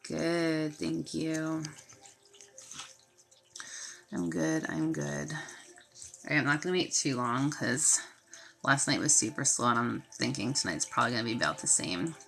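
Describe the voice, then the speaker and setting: A woman's voice: deep voice, moderate pace, creaky. A woman hosting a healing live stream from her phone, sniffly from a sinus infection, chatting casually and greeting viewers by name. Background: desk fountain and quiet music.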